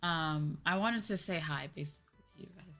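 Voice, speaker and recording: A woman's voice: low voice, brisk, a bit bright. A woman livestreamer chatting casually with her viewers, with music playing behind her. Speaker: livestreamer, VTuber host.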